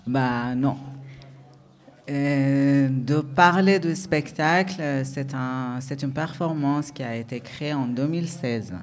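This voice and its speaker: A man's voice: medium pitch, slow pace. A man in his thirties from Iran, a native Persian speaker with a Persian accent, answering interview questions as a dance artist in a searching, reflective way full of hesitations.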